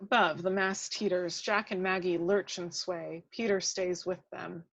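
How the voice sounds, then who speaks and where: A woman's voice: fairly low pitch, steady tone, measured pace, gravelly, slight background noise. A woman reading out the action of a screenplay as a dramatic narrator. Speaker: script narrator. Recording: dull lo-fi, dry microphone.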